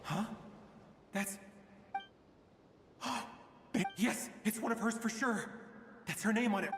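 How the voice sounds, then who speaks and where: A man acting an anxious, weary father searching for his lost daughter in a video game, speaking haltingly and earnestly. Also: high voice, a bit bright, slight background noise. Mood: bewildered. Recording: echoey, long reverb, game music behind.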